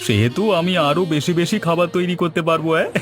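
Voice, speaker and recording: A man voicing a scheming, greedy hotel owner in a cartoon, with a gloating manner and drawn-out exclamations. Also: fairly high pitch, expressive, natural voice. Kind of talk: cartoon dub. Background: music.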